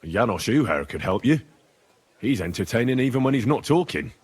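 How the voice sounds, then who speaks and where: An actor playing a plain-spoken, earnest young hero in a video game, with a dry, understated manner. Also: male voice, medium pitch, sing-song, brisk, husky, dry recording. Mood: teasing.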